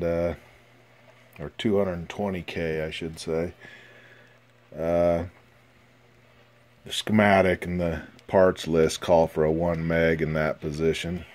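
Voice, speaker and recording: A man giving a casual, halting, low-key progress report on a repair, recorded on a phone at a workbench. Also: level tone, a little mellow, a little hiss or hum. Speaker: hobbyist radio restorer. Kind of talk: casual narrated progress video. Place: indoors at an electronics workbench. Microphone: handheld phone.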